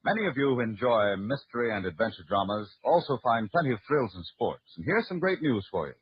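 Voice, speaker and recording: An American man announcing and narrating from a script in 1940s radio style, with a husky voice. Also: medium pitch, sing-song, a little fast, no room sound. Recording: muffled old radio recording.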